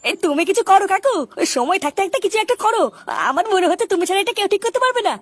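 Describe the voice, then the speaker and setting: A woman voicing a brash, excitable cartoon boy who talks in urgent, foul-mouthed slang. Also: extremely high pitch, racing, background noise. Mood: worried, pleading.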